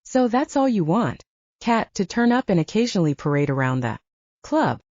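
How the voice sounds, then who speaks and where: A woman's voice: medium pitch, very animated, moderate pace, darkish tone, no echo, muffled recording. A woman voicing a commanding, level-headed male werewolf pack leader with a probing manner in an audiobook. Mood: controlled, decisive. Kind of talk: audiobook character dialogue.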